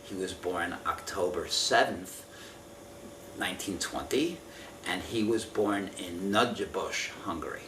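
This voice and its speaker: A man in his fifties answering an oral history interview from memory, speaking in a halting, careful, measured way. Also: deep voice. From Israel. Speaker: interviewee giving family testimony. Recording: roomy, distant mic with faint noise.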